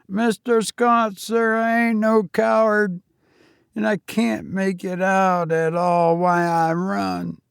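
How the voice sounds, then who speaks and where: A man voicing a nervous, deferential young Cockney servant with rough working-class speech. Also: high-pitched, flat delivery, slow pace, no echo, studio quality, pitched above the performer's own voice, full character voice. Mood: uneasy.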